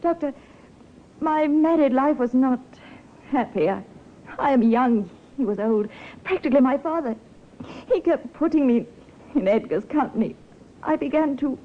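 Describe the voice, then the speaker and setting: An actress playing a composed, scheming young widow in a 1950s radio drama, with halting, theatrical delivery. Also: female voice, high voice, animated. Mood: distressed, confessing. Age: young adult. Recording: tinny, hissy 1950s radio recording.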